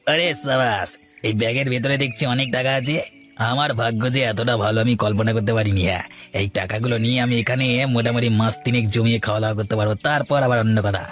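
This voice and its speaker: A man's voice: medium pitch, steady tone, fast talker, faint music behind, natural voice. A man doing a sly cowardly thief. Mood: gloating. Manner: wheedling, haggling, flustered. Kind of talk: Bengali cartoon voice acting.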